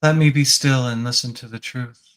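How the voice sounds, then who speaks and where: A man leading a guided spiritual meditation as a teacher, gently prompting the listener with reflective questions. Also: medium pitch, steady tone, moderate pace, clean tone.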